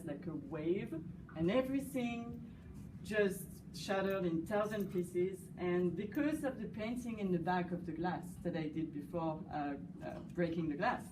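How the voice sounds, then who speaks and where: A woman with a French accent speaks in a lowish voice, pausing often with fillers as she talks through her work. She is recorded from a distance in an echoey space, with clear noise behind her. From France. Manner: hesitant, many fillers, warm laughter. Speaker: guest artist. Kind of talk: casual interview. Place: cafe gallery. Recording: echoey room, distant mic, background noise.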